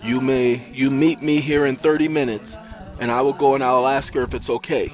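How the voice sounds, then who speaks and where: A man voicing a protective, excitable friend who guards a secret. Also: mid-range voice, normal speed, dull audio, faint music behind, voice pushed up, natural voice. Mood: cagey.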